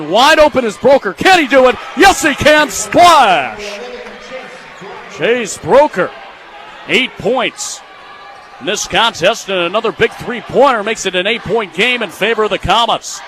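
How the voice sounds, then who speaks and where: A young American man calling live basketball on radio as play-by-play broadcaster, in clipped phrases and excitable on big plays. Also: high voice, normal speed. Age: young adult. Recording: clearly noisy live sports venue sound.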